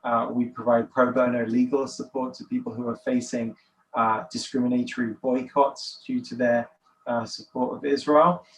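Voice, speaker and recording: A man's voice: medium pitch, level tone, moderate pace. A man with a British accent speaking as an interview guest, explaining his work. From United Kingdom. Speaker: podcast guest, nonprofit director. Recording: distant mic, faint background noise.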